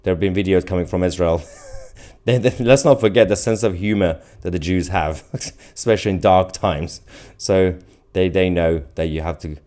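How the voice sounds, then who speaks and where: A man's voice: low-pitched, moderate pace, darkish tone. A man hosting a live stream in an informal, off-the-cuff way, reading out viewer comments and giving casual commentary.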